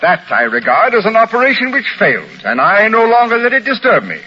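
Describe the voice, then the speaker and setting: Pompous, bright-voiced swindling colonel. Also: male voice, sits high, wildly swooping pitch, fairly quick, breathy edge, full character voice. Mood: smug, unruffled. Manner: pompous, formal, wordy military speech. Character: pompous military-minded male swindler called the Colonel. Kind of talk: 1940s-50s radio crime drama acting. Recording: muffled, faintly noisy old radio recording.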